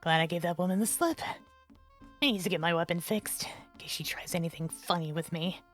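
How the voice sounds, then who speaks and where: A woman playing an earnest, sweet, awkward teenage warrior in an animated fandub, eager and easily flustered in delivery. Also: lowish voice, brisk, music in the background, lightly acted. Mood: anxious, tender. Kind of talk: animated fantasy fandub acting.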